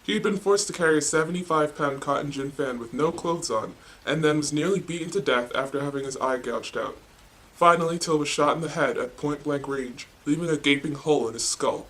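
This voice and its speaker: Higher-voiced male news anchor. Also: brisk, a bit bright, rough recording. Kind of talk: scripted newscast narration.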